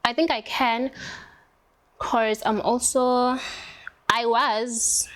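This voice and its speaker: A young woman from South Africa with a South African accent, chatting casually while doing her makeup, full of "like" fillers and drawn-out words. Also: on the high side, steady tone, fairly bright tone. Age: young adult.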